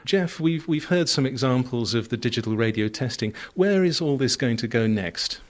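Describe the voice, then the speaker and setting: A man's voice: medium pitch, animated, moderate pace. A man presenting a radio programme, interviewing experts in a conversational way.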